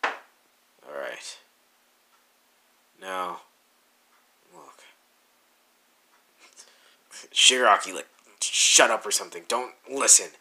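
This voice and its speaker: Man doing a brooding young villain, deep voice, bright edge, slow drawl, teasing. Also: animated, breathy edge, full character voice. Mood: gruff, caring. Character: scarred brooding young male villain, possessive. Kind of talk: fan audio roleplay, ASMR.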